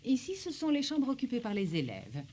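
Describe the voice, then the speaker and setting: A woman voicing a genteel, self-important headmistress in an animated drama, formal and flattering in manner. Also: on the high side, a little fast, background noise. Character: genteel, effusive, self-important headmistress of girls' boarding school. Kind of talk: French-dubbed animated drama acting.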